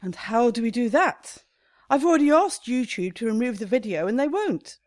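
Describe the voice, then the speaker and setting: A woman's voice: fairly high pitch, lively intonation, normal speed, darkish tone, no room sound, studio quality, close to an everyday voice. A woman acting in a radio comedy as an indignant, anxious shop owner with a questioning, exasperated manner. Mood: sceptical.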